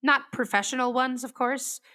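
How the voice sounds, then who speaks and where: A woman's voice: high voice, fairly even intonation, normal speed, bright, forceful tone. An actress playing a reflective female meteorologist who chases storms, thoughtfully confiding in a hesitant, wondering monologue for a radio play. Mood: reflective, hopeful. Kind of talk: confessional monologue in an audio drama.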